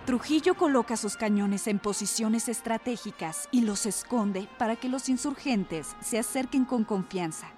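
Female narrator, scripted historical storytelling, higher pitch, strong music bed. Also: normal speed, hardly any pauses. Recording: prominent music under the narration.

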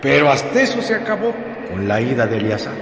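A man's voice: mid-range voice, sing-song, fast. A man playing a crude, mocking village gossip with a Mexican accent in a radio drama, in a sneering drawl. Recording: echoey reverberant scene with noise.

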